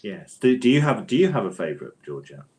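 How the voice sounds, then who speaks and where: A man's voice: lowish voice, sing-song, fast, darkish tone. A man chatting as an author guest in a literary interview, feeling his way through thoughts in a hesitant, digressive manner. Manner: hesitant, digressive, thoughtful phrasing with repeated tag questions. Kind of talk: casual literary interview chat.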